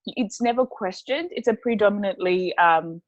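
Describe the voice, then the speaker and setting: A playful woman, talking at an ordinary middle pitch as a podcast guest. She sounds close and dry, with hardly any room around her voice. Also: moderate pace, no echo, highs cut off. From Zimbabwe. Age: 20s. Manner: playful and chatty, laughs often. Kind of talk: casual chat.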